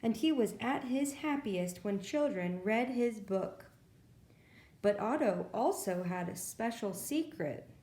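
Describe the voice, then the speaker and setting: A woman with a soft, mellow tone reads a picture book to preschoolers in a roomy, echoing space. Her pace is very unhurried. She narrates expressively and turns to the young listeners with small asides. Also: mid-range voice, expressive. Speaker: children's librarian reading stories.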